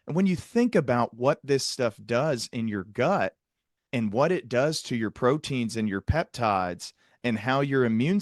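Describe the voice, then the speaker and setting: A man speaks as the host of a clinical interview podcast. His pitch sits in the middle and the sound is very dry. He is earnest and wordy, rephrasing as he reflects at length. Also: no room sound. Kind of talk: conversational interview with long reflective remarks.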